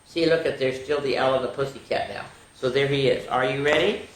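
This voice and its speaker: Older female children's story host, low-to-mid register for a woman, sing-song reading with chatty asides, roomy echo. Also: brisk. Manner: addresses young listeners directly. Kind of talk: reading nursery rhymes aloud to children. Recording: roomy, echoing room sound.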